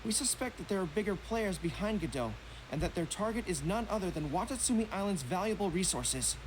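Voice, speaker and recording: A man's voice: high voice, animated, fairly quick, noisy background. A man voicing a young, courteous, dutiful general in a video game, speaking formally and seriously. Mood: earnest, businesslike.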